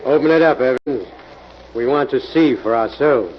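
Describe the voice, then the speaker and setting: An actor playing a commanding but good-humored police inspector in 1940s radio drama style. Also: male voice, medium pitch, normal speed, lightly acted. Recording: muffled, noisy old radio broadcast.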